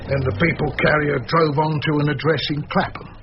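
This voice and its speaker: Man doing glum investigator, creaky voice, plods like a police report, radio play, hissy audio. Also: a little high, fairly even intonation, fairly quick, dull audio, close to an everyday voice. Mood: dour, reporting. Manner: ponderous, official report phrasing with colloquial grammar. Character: dour, plodding male private investigator, proud of diligence. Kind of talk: radio drama acting.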